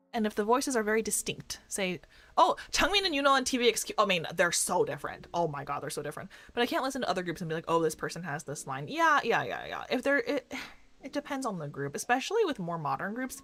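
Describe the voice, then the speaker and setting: Chatty woman streamer, lively pitch. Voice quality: fairly deep voice for a woman. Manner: rambling, filler-heavy, self-correcting. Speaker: streamer and doctor. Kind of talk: casual chat with live chat readers. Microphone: desk microphone.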